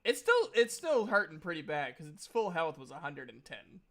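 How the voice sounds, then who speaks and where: A man's voice: on the high side, sing-song, normal speed, a bit bright. A man casually narrating a tabletop game as the game master.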